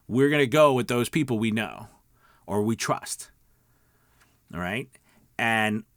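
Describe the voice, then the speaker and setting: A man's voice: fairly low pitch, no echo, studio quality. A man giving an informal, emphatic coaching talk to viewers as a video host, often checking in conversationally. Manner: emphatic, conversational, repeats check-in words like okay and all right.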